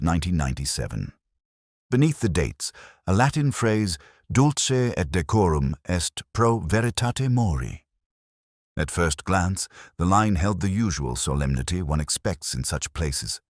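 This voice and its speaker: A man voicing a pensive, wry police inspector who narrates a mystery story in a measured, literary, deliberate way. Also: low-pitched, no room sound, studio quality, exaggerated voice. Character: pensive, wry older English male police inspector narrating his case. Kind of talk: scripted detective story narration.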